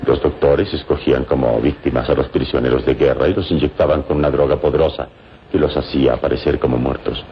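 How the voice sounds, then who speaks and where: A calm, all-knowing hero of vintage radio drama. His voice is extremely deep with a gravelly edge, and every phrase is measured and solemn. The old recording is dull and slightly noisy. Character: calm, wise male hero with hypnotic powers. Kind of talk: old Latin American radio drama acting.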